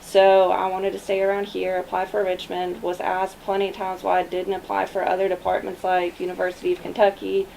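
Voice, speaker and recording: A woman's voice: mid-range voice, monotone, a little fast, creaky, few pauses, a little mellow, low-quality recording. A young woman from Germany who moved to the US as a child, a police officer answering interview questions conversationally. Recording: noticeable background noise.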